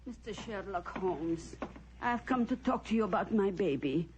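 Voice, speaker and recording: A woman's voice: medium pitch, lively intonation, normal speed, darkish tone, slight background noise, lightly acted. An actress playing an earnest middle-aged mother in old-time radio drama, on an old recording. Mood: earnest, doting. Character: slow, earnest middle-aged mother devoted to her daughter.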